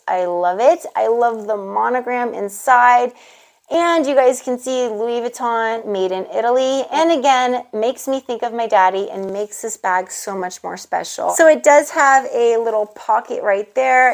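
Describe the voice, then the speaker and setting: A woman in her thirties gushing to viewers as she unboxes handbags in a casual vlog, on a lapel mic indoors. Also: fairly high pitch. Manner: gushing, enthusiastic, addresses viewers as "you guys". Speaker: luxury handbag vlogger. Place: indoor room with handbag shelves. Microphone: clip-on lapel mic.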